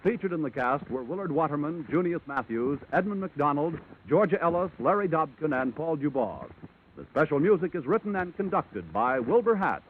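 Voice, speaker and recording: A man's voice: fairly high pitch, sing-song, normal speed, a little hiss or hum. A man announcing in polished, formal, promotional 1940s network radio style. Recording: tinny vintage radio broadcast with music.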